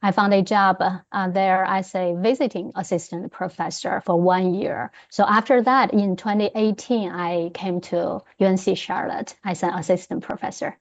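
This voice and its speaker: A woman with a Chinese accent speaks as an interview guest. Her voice sits at a medium pitch, and she answers conversationally, hesitating as she gathers her thoughts. The recording is somewhat muffled. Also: steady tone, normal speed, few pauses. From China. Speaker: interview guest, history professor.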